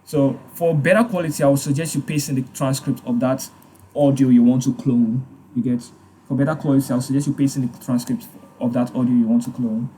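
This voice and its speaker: A man guides viewers through a software tutorial in a relaxed, unscripted way, circling back to repeat his advice. His voice is warm and mellow, of middle pitch. A faint background hiss sits under the recording. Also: a little fast, poor audio. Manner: relaxed, repeats points, fillers like "like" and "um". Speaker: tutorial host.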